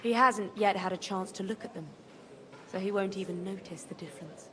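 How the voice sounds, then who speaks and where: A woman's voice: mid-range voice, fairly quick, a crowd in the background, lightly acted. An actress playing a shrewd, wry widowed medieval noblewoman in a video game, composed and dry in delivery. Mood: firm, cautious. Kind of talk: video game dialogue acting.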